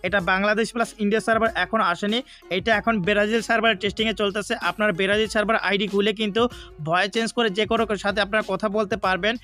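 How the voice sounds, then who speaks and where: A man's voice: high voice, little pitch movement, rapid-fire, sharp tone. A man with a Bangladeshi accent narrating a gaming tutorial step by step, often repeating his points. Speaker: gaming YouTuber. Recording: faint background music. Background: music.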